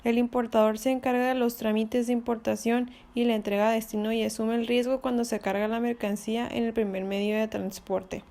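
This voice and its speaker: A woman's voice: fairly high pitch, level tone, brisk, gravelly, few pauses, dark tone. A woman presenting a scripted explanation in an instructional reading style.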